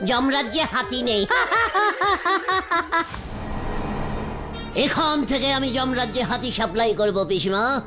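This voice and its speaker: A woman's voice: on the high side, normal speed, music in the background, natural voice. A woman voicing a pompous, idle uncle who spins absurd tall tales in a comic cartoon, speaking. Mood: boastful. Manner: pompous, drawling storytelling.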